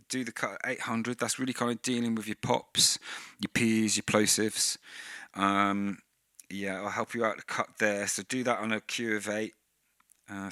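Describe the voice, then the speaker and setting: A man talks through his gear in a relaxed tutorial, his lowish voice bright and forward. He sits close to a vocal mic with a pop screen, at times right against it. The sound is dry and close. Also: dry recording. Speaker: host. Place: indoor room, dim light. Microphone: vocal mic with pop screen through mixer.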